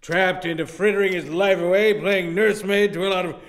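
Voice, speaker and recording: A man's voice: sits high, fairly even intonation, fairly quick. An actor playing a crafty, domineering old businessman in a radio play, condescending and blustering. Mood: sly, condescending.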